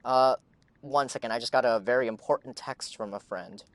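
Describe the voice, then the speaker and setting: A male game streamer with a mid-range voice. He talks casually and rambles, thinking out loud as he plays. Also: mid-range voice, normal speed, dry recording. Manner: rambling, musing aloud. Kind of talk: casual livestream chat while playing.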